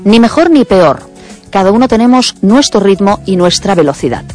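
A veteran woman radio host, speaking quickly and insistently with many fillers in a radio conversation. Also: mid-range voice, very animated, darkish tone, soft background music.

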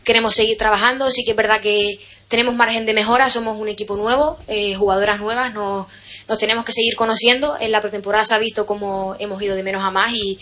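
A woman, a footballer, answering a press interview in a quick, steady way. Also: medium pitch, slight background noise.

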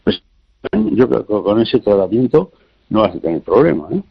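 A man's voice: fairly low pitch, big pitch swings, moderate pace, creaky, breathy edge, highs cut off. A man giving health advice on a call-in radio show, hesitant and repeating small words as he explains.

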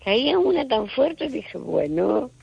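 A woman's voice: a little high, sing-song, slow pace, gravelly, faint music behind. An older woman from Madrid calling in to a radio show, chatting casually in a rambling, repetitive way over the telephone. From Madrid, Spain. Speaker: radio phone-in caller. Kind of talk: casual chat. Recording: dull, muffled call-in line.

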